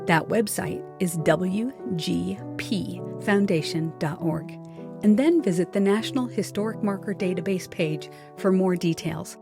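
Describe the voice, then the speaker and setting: A woman's voice: on the low side, measured pace, gravelly. A woman hosting a podcast, reading announcements in a friendly, upbeat way and asking interview questions. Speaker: podcast host. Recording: music playing behind.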